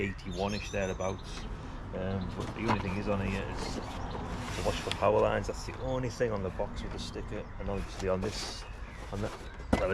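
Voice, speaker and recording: Man, low-pitched, creaky voice, casual vlog chat, rambling, noisy background. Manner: chatty, rambling, repeats himself. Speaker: radio hobbyist vlogger. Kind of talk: casual unscripted product unboxing commentary.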